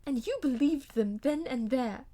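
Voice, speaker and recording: Audiobook role: woman reader as young aristocratic wife, high voice, proud yet imploring, vehement, old-fashioned diction. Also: animated, a little slow, dark tone. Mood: vehement, wounded. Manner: vehement, pleading, theatrical old-fashioned speech. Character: proud, impassioned young noblewoman pleading with her husband.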